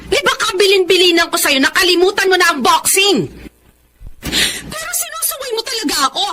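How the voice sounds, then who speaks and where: A woman's voice: very high-pitched, lively intonation, a little fast, bright, forceful tone, full character voice. An actress playing a stern, fearful, scolding mother in a Filipino radio drama, with a dramatic manner. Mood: angry, anguished.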